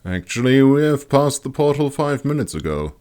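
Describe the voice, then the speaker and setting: A man's voice: medium pitch, sing-song, normal speed, a little mellow, voice pushed up, lightly acted. A man voicing a weathered middle-aged male scout, observant and matter-of-fact, in an audiobook.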